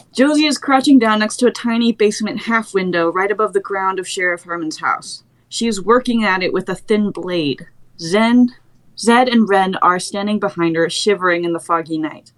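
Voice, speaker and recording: A woman's voice: medium pitch, normal speed, rough recording. A young adult woman reading stage directions as the narrator of a screenplay.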